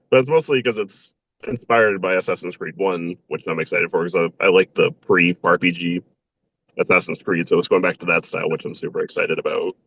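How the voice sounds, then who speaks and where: A young man chatting casually as an interview guest, bashful and quick to laugh at himself. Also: low-pitched, gravelly, fairly bright tone, breathy edge, rough recording. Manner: laughs often, bashful and self-deprecating.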